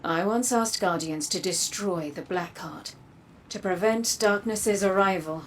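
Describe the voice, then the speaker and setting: An actress playing a mysterious android warrior in a video game, a calm, grave mentor with measured, portentous delivery. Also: female voice, medium pitch, slight background noise, close to an everyday voice. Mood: grave, persuasive. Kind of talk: video game cutscene acting.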